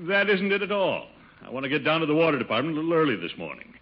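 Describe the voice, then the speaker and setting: An actor playing a pompous, blustering middle-aged American uncle in a radio sitcom, fussy and exclamatory. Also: male voice, sing-song, very fast. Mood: exasperated, blustering. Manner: fussy, exclamatory, with low rumbling chuckles. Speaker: lead actor in a radio comedy. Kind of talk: 1950s radio sitcom acting. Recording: dull, hissy old radio recording with clicks.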